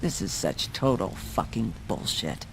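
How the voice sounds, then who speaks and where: A woman's voice: rumbling low, big pitch swings, unhurried, gravelly, background noise, full character voice. An actress playing a furious, grieving young woman who curses bitterly in a video game. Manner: bitter and cursing, sharp.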